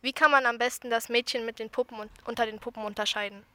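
A woman hosting a podcast interview, asking clear, scripted questions. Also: on the high side, steady tone, fast talker, bright, forceful tone.

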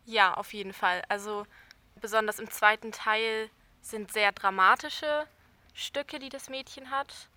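Young woman, soprano, bright tone, slightly high voice, thoughtful, hesitant fillers. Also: measured pace. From Berlin, Germany. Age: young adult. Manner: thoughtful, searching for words with fillers. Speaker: soprano singer. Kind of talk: interview answers.

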